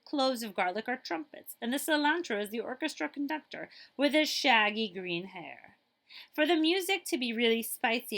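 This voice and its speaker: A woman's voice: on the high side, fairly bright tone. A woman school librarian reading a children's picture book aloud expressively, with chatty asides to her listeners.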